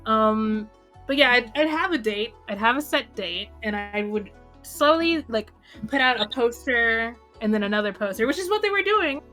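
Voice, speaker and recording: A woman's voice: a little high, lively intonation, moderate pace, pushed and bright. Rambling woman podcaster over music. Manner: rambling, heavy use of "like". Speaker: podcast host. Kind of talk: casual podcast chat. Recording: music behind the voice.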